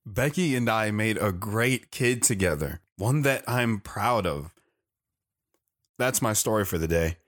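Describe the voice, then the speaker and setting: A man's voice: lowish voice, expressive, unhurried, fairly bright tone. A man playing a devoted, reflective wandering father who tells his own story in an audio drama, earnest and sentimental. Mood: reflective, humble. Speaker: narrator.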